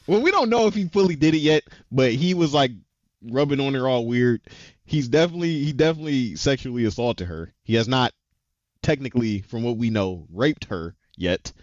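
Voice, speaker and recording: Man, casual co-host chat, animated retelling, mid pitch, dry, slightly dull sound. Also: fairly quick, no room sound. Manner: animated retelling, acts out character lines, slangy. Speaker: podcast co-host. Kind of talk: casual chat recapping anime episodes.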